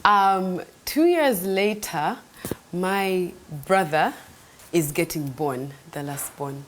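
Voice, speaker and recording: A young woman, telling a faith testimony in an earnest, animated way that sometimes drops to a soft near-whisper. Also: on the low side, takes it slowly, a little hiss or hum. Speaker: host sharing a faith testimony. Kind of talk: devotional storytelling.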